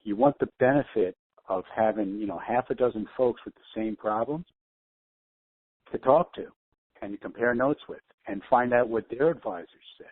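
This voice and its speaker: A man's voice: mid-range voice, moderate pace, slightly rough, no echo. A man co-hosting a conference call, talking in an earnest, stumbling way and repeating himself. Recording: tinny phone line.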